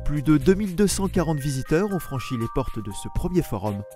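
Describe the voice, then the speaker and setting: A man's voice: on the high side, sing-song, a little fast, over music. A man reading scripted narration as the voice-over of a local news report.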